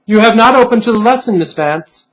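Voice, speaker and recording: A man's voice: high voice, animated, a little fast, a bit bright, voice pushed up, rough recording. A man voicing a strict, menacing female teacher doll in a falsely sweet sing-song for a horror storybook reading.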